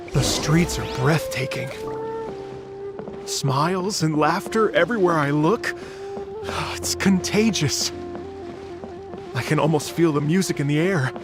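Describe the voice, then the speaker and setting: An actor playing an earnest, polite male concert conductor in a game, with expressive, musical turns of phrase that turn hesitant when he is pressed. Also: on the high side. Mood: wonder. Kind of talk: game dialogue. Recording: game audio with music underneath.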